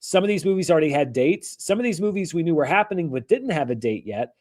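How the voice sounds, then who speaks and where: A hoarse man hosting a casual live-stream about movie news, rambling and correcting himself as he goes. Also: on the high side, expressive, quick pace, darkish tone. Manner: rambling, self-correcting, chatty. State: hoarse from allergies.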